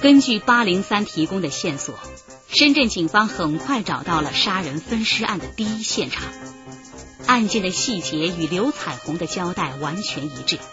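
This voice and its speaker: A woman's voice: on the low side, lively intonation, moderate pace. A woman delivering dramatic scripted narration for a radio crime drama. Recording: dull sound under music.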